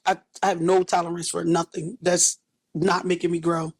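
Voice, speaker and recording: A woman in her thirties from West Philadelphia talks in a raspy voice with a blunt, hardened edge. She speaks candidly as an interview guest, seated on a sofa in a small indoor studio. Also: fairly low pitch, level tone, normal speed. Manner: blunt, tough, street-worn storytelling.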